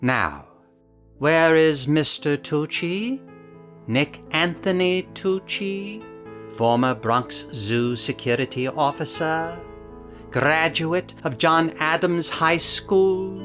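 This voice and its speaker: Man playing a slow, theatrical villain. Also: a little high, a bit bright, faint music behind. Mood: grandiose. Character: theatrical villain presiding over captives with mock courtesy. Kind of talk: comedy audio drama acting.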